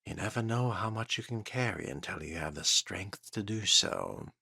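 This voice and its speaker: A man's voice: lowish voice, expressive, normal speed, creaky, sharp tone, a little hiss or hum. A man narrating a role-playing game in character, in a theatrical, formal and sardonic manner. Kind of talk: in-character scripted-style gameplay narration.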